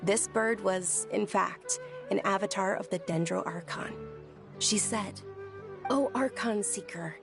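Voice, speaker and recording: An actress playing a shy, gentle young woman who tells an old legend, speaking softly and hesitantly, with game music behind. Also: medium pitch, a little slow, gravelly. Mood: storytelling. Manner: soft, hesitant, stammers when nervous. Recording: game audio with background music.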